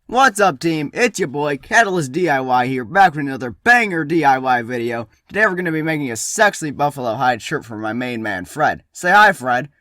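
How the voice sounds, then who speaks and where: Man putting on a shouty, hyped-up DIY video bro. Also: a little high, expressive, brisk, hardly any pauses, no echo, clean studio sound, voice pushed up. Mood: hyped, brash. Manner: bro-like, shouty slang-filled hype. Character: hyped-up cheesy male DIY video host. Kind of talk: parody DIY vlog intro and outro.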